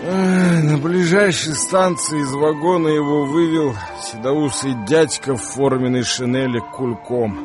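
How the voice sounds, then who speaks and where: A man reading dramatised literary narration for a radio play, with music behind him. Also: medium pitch.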